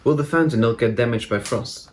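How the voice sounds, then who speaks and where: A woman's voice: extremely low pitch, slight background noise. A woman in her twenties reading viewer questions aloud to a group. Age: 20s. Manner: reads questions aloud quickly.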